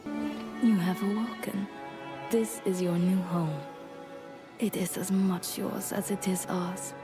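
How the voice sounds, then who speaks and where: An actress playing a calm, reassuring priestess in a fantasy game, with measured, gentle phrasing. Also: female voice, medium pitch, music in the background, close to an everyday voice. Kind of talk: video game character dialogue.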